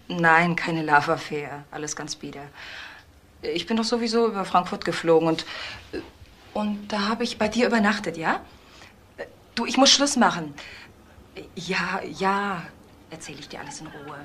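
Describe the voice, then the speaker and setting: A coy, chatty woman on the telephone in a crime drama. Her voice is bright and fairly low, and she sounds lightly reassuring and evasive. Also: expressive, unhurried, long pauses. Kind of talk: German TV crime drama acting.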